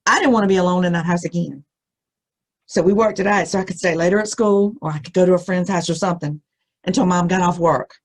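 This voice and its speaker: Husky-voiced American woman telling a scary story. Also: on the low side. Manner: expressive, suspenseful delivery with dramatic pauses. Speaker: storyteller reading aloud. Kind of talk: dramatic first-person scary story reading.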